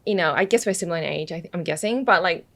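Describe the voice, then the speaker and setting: Woman guest, Australian accent mixed with British; chatty, easy laughter, slightly low register. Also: very fast, fairly bright tone. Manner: chatty, laughs often, many "you know" fillers. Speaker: podcast guest. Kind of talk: casual interview chat.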